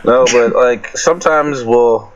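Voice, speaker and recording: A man's voice: medium pitch, slow. A man chatting casually as a panelist in a fan Q&A, matter-of-fact with dry teasing. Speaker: musician panelist answering fan questions. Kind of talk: casual group chat. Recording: dull lo-fi voice chat audio.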